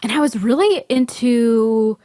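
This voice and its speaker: A woman in her early thirties co-hosting a podcast, chatting casually with lots of "like" fillers and quick laughs. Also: a little high, dark tone, no echo, studio quality. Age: 30s. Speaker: podcast co-host. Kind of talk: casual chat.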